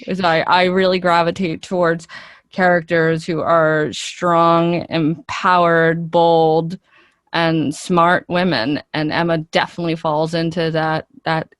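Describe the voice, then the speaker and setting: A woman's voice: sits low, little pitch movement, slow, no room sound, clean studio sound. A woman, an actress, chatting casually and expressively as an interview guest.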